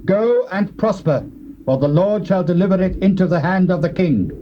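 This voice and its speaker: An actor playing a solemn, defiant prophet of God, declaiming in measured phrases in a dramatized Bible reading. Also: male voice, sits high, animated. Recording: muffled old cassette, faint noise.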